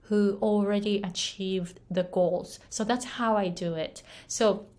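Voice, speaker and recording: Japanese-accented woman, unhurried coaching vlog, earnest and chatty with "right?" tags. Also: medium pitch, steady tone, darkish tone. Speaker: English pronunciation coach. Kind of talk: casual motivational talk to camera.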